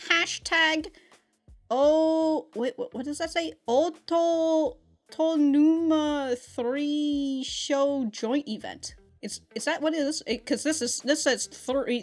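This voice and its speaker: A woman with a fairly high voice chats through a reaction review in a loose, rambling way. She is giggly and slangy and swears freely. Faint music plays behind her. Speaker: anime reaction host and reviewer. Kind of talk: casual, rambling reaction commentary.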